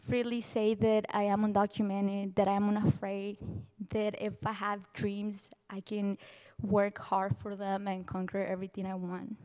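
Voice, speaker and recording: A young woman from Mexico, a Spanish native speaker, giving an earnest, heartfelt personal testimony as a student speaker, halting now and then to restart. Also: medium pitch, darkish tone, clear, resonant tone. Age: young adult. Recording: distant voice in a reverberant hall.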